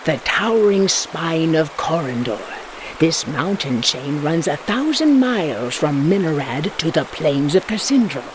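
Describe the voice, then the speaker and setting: A woman's voice: deep voice, sing-song, a little slow, background noise. A woman playing a mysterious lore-keeper, narrating a fantasy video game with dramatic, portentous delivery. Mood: ominous, mysterious. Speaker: campaign narrator.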